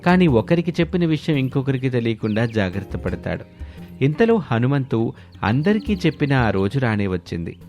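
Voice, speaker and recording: A man's voice: medium pitch, very animated, moderate pace, darkish tone. A man giving scripted narration of a moral story as storyteller. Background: background music.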